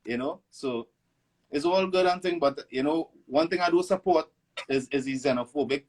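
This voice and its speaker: Halting Trinidadian man as guest. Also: a little high, poor audio. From born in Venezuela, raised in Trinidad. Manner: halting, many restarts and "you know". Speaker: guest artist. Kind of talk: casual interview chat. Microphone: online live stream.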